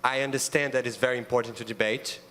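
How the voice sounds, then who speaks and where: A man's voice: mid-range voice, steady tone, fast, pushed and bright, slight background noise. Young Brazilian man speaking in a hall. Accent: Brazilian Portuguese. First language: Portuguese. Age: young adult. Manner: earnest, with frequent ums and restarts. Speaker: youth ambassador panelist. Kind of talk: conference panel statement. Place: large conference auditorium.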